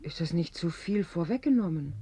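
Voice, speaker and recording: An actress in a German radio drama playing a long-suffering, practical muse who is gently critical in a dry, matter-of-fact way. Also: female voice, on the low side, expressive, normal speed, a little hiss or hum, lightly acted. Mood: sceptical.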